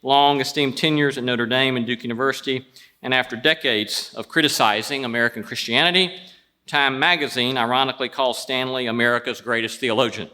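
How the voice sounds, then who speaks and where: A man hosting a show, telling prepared stories and introductions in a wry, deliberate way. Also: mid-range voice, steady tone, pushed and bright. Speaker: show host introducing a guest. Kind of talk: prepared storytelling introduction before an audience.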